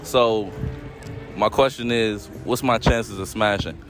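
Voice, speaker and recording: A man's voice: lowish voice, slow. A young man with a Cleveland accent hosting a slangy, joking street interview outdoors at a beach park, speaking into a handheld phone mic. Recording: music and crowd behind.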